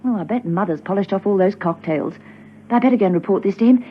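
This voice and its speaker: A woman's voice: medium pitch, quick pace, slight background noise, natural voice. A woman playing a poised, inquisitive secret agent with an arch manner in a classic spy radio drama. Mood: drily amused. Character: poised, curious female secret agent, drily amused. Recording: dull, band-limited old radio recording.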